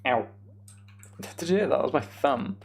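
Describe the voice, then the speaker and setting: Male game streamer, British, gravelly fry in an average-pitched voice, offhand running commentary with dry asides. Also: expressive, normal speed, slight background noise. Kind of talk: casual Minecraft playthrough commentary, chatting with viewers.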